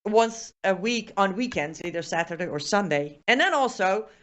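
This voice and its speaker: A woman's voice: mid-range voice, animated, moderate pace, a bit bright. A woman with a Persian accent, hosting a live show and chatting through viewer comments, with many fillers and restarts.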